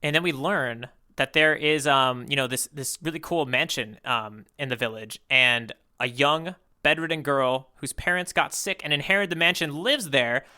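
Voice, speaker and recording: A man's voice: mid-range voice, normal speed, hardly any pauses, pushed and bright, clean tone. A man co-hosting a podcast, casually recapping a story in a rambling, self-correcting, hesitant way. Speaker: podcast co-host recapping manga chapters.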